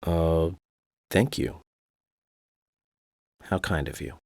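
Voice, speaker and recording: An actor playing a serious, courteous lawman in a video game cutscene, measured and businesslike. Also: deep voice, darkish tone, dry recording, studio quality. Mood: polite. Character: serious, courteous male lawman on a murder case.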